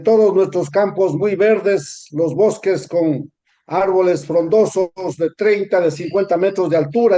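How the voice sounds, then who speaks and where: A man with an Ecuadorian accent, answering interview questions in a measured, explanatory way with frequent hesitations. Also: high voice, dark tone. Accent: Ecuadorian Spanish. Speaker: interview guest.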